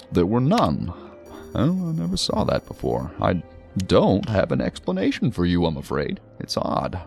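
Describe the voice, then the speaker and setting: An actor playing a talkative, learned psychiatrist who muses and explains at length in a science fiction audio drama. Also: male voice, fairly low pitch, creaky, full character voice. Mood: puzzled. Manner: wordy, musing, explanatory. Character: male psychiatrist, talkative, learned, genially hedging.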